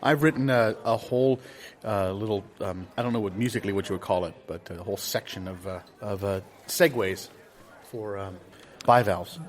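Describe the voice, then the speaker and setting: A man playing a rambling, eager guitarist-composer in improv comedy, halting as he talks and breaking into little ditties. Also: fairly low pitch, close to an everyday voice. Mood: halting, earnest. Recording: guitar music behind the voice.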